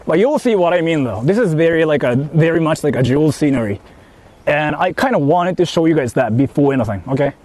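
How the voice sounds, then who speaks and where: A man's voice: on the high side, lively intonation, a little fast, darkish tone. A young man with a Japanese accent, chatting casually and jokingly on a live stream from outdoors, rambling with lots of fillers and repeated words. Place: outdoors in wind. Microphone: phone on a live stream. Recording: wind noise on a phone mic.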